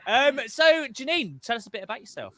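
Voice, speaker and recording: A man's voice: very high-pitched, big pitch swings, brisk, crowd noise. A man hosting a casual online chat show over a video call, teasing his guests between lots of fillers and restarts. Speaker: host.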